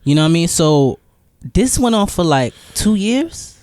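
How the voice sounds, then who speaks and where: A man's voice: fairly high pitch, big pitch swings, moderate pace, a little mellow, no room sound, clean studio sound. A man hosting a casual podcast interview, bantering in profane slang and repeating phrases for comic effect. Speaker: podcast host interviewing a guest.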